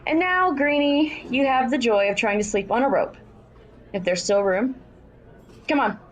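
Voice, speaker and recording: A woman's voice: fairly high pitch, moderate pace, close to an everyday voice. A woman playing a frank, friendly and worldly young match factory girl in an audio drama.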